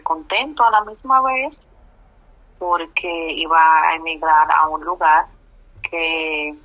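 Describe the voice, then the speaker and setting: Lady, slow and hesitant, lots of "eh", kinda dull muffled sound. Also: low voice. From Dominican Republic. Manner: slow, hesitant answers with fillers. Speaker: interviewee. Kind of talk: oral history interview.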